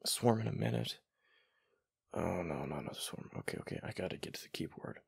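A man acting a gravelly, flustered gamer boyfriend. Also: expressive, dry recording, clean studio sound, full character voice. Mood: panicked pleading. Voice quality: deep, gravelly. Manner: halting, stammering, murmured. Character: flustered, affectionate young gamer boyfriend, hushed and pleading. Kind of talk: boyfriend ASMR roleplay.

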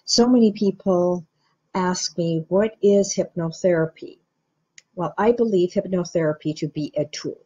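Middle-aged woman from Iran, lowish warm voice, calm guiding teacher. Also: slightly rough, dull audio. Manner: slow, measured, guiding explanation. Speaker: hypnotherapist. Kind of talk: teaching talk to camera.

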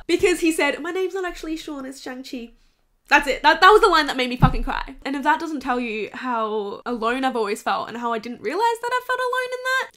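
High-voiced young woman, candid and swearing. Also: fairly bright tone. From Hong Kong. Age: 20s. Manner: candid, swears freely, laughs through emotional bits. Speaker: vlogger. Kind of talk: casual confessional chat to camera. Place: bedroom.

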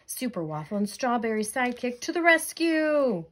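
A woman's voice: a little high, big pitch swings, moderate pace. A woman teacher reading a children's comic book aloud in a storytelling style. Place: indoors, book on a tabletop.

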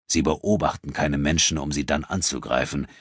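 A man voicing a worried, puzzled adventurer in an audiobook, speaking gravely and with some hesitation. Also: steady tone, moderate pace, dry recording, studio quality, full character voice. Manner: hesitant, low-key, grave. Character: worried, puzzled male adventurer companion, serious. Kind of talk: audiobook character dialogue.

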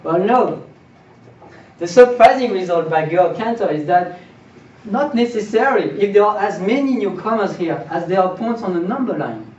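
Higher-voiced young man lecturing, roomy distant sound. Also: darkish tone. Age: young adult. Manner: rhetorical questions, checks in with listeners. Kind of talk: informal seminar lecture on mathematics. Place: small indoor seminar room. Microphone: far camera. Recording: distant roomy mic, dull, faint noise.

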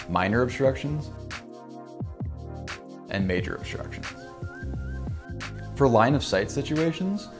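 Young guy doing a scripted how-it-works talk, steady and clear, music under it. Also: on the low side. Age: young adult. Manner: clear, even, informative delivery. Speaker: company presenter. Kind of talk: scripted product explainer.

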